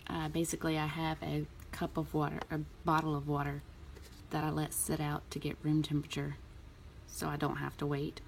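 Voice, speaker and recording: A woman in a relaxed, chatty way explaining a nail art tutorial, with plenty of ums. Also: deep voice, moderate pace, creaky, slight background noise. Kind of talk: casual tutorial talk.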